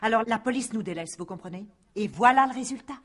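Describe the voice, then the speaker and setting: A woman's voice: on the high side, lively intonation, fast talker, a bit bright, slight background noise. A woman playing a self-important, gossipy painter and neighbour in a radio crime drama, with an emphatic, theatrical delivery. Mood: shocked, indignant. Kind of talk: 1950s-style French radio crime drama acting.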